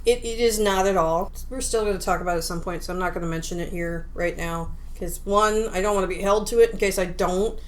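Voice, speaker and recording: A woman co-hosting a podcast about video games, chatting casually in an animated, giggly, self-interrupting way. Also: medium pitch, a little fast, hardly any pauses, a little hiss or hum. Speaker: podcast co-host.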